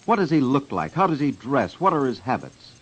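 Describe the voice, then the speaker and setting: A man's voice: mid-range voice, very animated, fairly quick. An American man hosting a vintage radio crime show, making urgent, emphatic, dramatic appeals to listeners. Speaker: radio host and investigator. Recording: dull vintage radio, music underneath.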